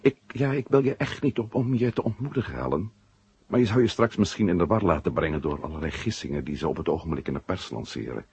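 Male journalist, confiding and wry, lowish and very lively, for a vintage sci-fi radio serial. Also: fast, husky, no echo, exaggerated voice. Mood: earnest. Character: adult male journalist, blunt, wry, worried about his brother. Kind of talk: 1970 Dutch science-fiction radio drama acting.

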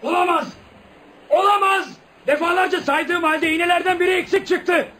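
Pompous, high-pitched boastful father. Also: fairly even intonation, normal speed, pushed and bright. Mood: alarmed, accusing. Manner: pompous, theatrical. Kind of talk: Turkish radio theatre acting. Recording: noisy old radio drama recording.

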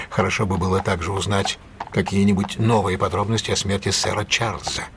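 An actor playing a shrewd, authoritative detective in an audio drama, speaking precisely and in a measured way as he instructs. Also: medium pitch, background noise, lightly acted. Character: shrewd, commanding male detective giving careful instructions.

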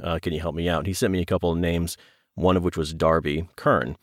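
A man's voice: low-pitched, fairly even intonation, a little fast, dry recording, studio quality. A middle-aged man talking as a guest in a casual interview, chatty, enthusiastic and a little self-deprecating. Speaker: audiobook and audio drama producer, interview guest.

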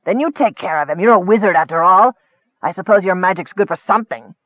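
A man's voice: high voice, quick pace, dry recording, close to an everyday voice. A man voicing a bitter, greedy male goblin chief who sneers and hisses his demands. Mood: sneering. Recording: tinny phone-like dry sound.

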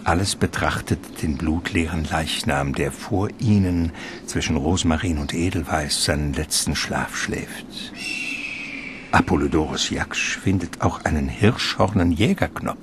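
A man narrating a literary radio play in a measured, ornate storytelling manner. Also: very deep voice, background noise.

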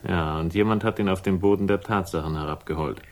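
An actor playing a weary, hard-boiled private investigator in a 1960s German radio crime drama, laconic and dry in manner. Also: male voice, low-pitched, fast talker. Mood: dry, guarded.

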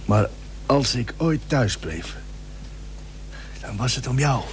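An actor playing a plucky, stubborn young seafarer and merchant in a period radio drama, speaking earnestly in formal old-fashioned phrases. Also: male voice, medium pitch, lively intonation, slow. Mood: tender, earnest. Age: young adult. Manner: earnest, formal archaic speech. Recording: noisy old radio recording with hum.

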